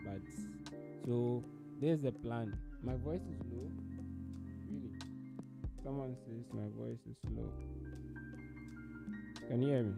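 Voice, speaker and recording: A young man hosting a livestream in a calm, unhurried way, his voice sitting at an ordinary middle pitch. He greets viewers and talks them through his setup in a relaxed, chatty manner. Clear background music plays behind him. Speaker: livestream host. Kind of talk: casual livestream chat. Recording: music playing behind at first.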